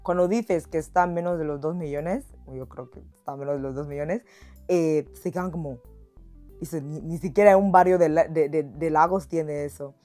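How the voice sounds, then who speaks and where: Female interviewee, very low pitch, mellow and soft-edged, casual storytelling over faint music. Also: moderate pace. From Equatorial Guinea. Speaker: documentary interviewee. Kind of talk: casual interview talk with anecdotes. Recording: music under the voice.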